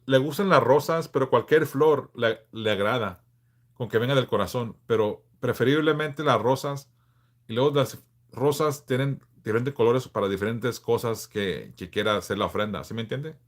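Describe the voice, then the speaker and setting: A man in his forties from Southern California, hosting a casual livestream and answering viewers in a frank, laid-back way with halting restarts. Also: mid-range voice. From Los Angeles, California. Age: 40s. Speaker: livestream host.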